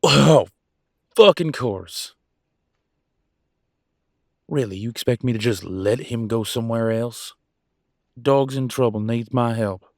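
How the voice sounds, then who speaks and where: A man's voice: mid-range voice, steady tone, moderate pace, a little mellow, no echo, studio quality, lightly acted. A man playing a proud, wounded werewolf husband and country vet in a roleplay audio drama, with a drawl and a folksy, bitter, raw way of talking. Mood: indignant, angry. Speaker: voice actor. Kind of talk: dramatic roleplay audio, one side of a couple's argument.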